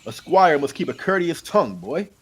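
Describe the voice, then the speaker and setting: A man's voice: a little high, sing-song, normal speed, voice pushed up. A man voicing a stern, plain-spoken young hedge knight in an audiobook, firm and scolding. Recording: clear background noise.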